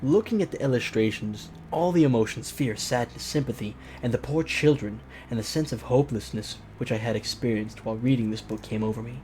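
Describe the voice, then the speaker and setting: A man hosts a game let's play, reading story text aloud in a theatrical way. He drops out of narration into joking, exclamatory asides. The home recording has clear background noise. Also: mid-range voice, fairly quick, few pauses, a little mellow. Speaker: host reading a visual novel aloud.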